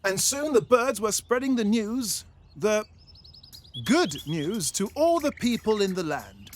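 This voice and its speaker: A man narrating a satirical fairytale audio play in an expressive storybook style. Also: high-pitched, a little slow, a little hiss or hum.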